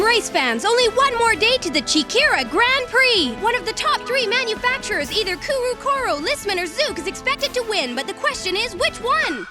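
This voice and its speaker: A woman playing an excitable young race reporter in a dubbed cartoon, bubbly and bright. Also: very high-pitched. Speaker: race announcer.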